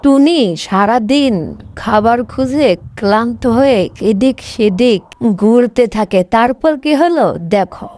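A woman's voice: fairly high pitch, measured pace, a little mellow. A woman narrating a scripted cartoon tale as a storyteller.

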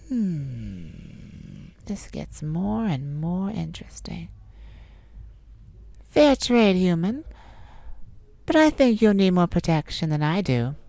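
A woman voicing a flirtatious, cunning male vampire in a slow, soft-spoken, teasing ASMR roleplay. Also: on the low side, no room sound.

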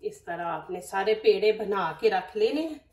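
A woman's voice: mid-range voice, animated, normal speed, a little mellow, roomy sound, distant mic. A woman with a Pakistani Urdu accent hosting a cooking demonstration at home, chatty and instructive, often saying religious phrases. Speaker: cooking channel host. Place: home kitchen.

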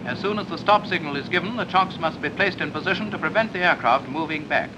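A man's voice: fairly high pitch, fast talker. A British man reading a scripted 1940s instructional film narration in a clipped, explanatory manner. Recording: noisy 1940s film soundtrack.

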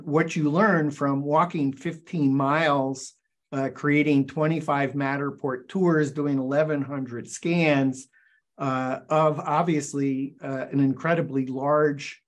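Chatty older man hosting a video call. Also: fairly high pitch. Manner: halting, many restarts and fillers. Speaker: show host and forum founder. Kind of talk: video call interview outro. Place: indoors, virtual backdrop. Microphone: webcam on video call.

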